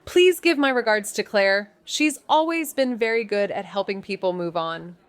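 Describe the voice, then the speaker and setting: An actress playing a wry, watchful young amateur sleuth in a noir audio drama, dry and understated. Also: female voice, medium pitch, moderate pace, smooth, natural voice. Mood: pensive. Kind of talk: noir audio drama dialogue and first-person narration.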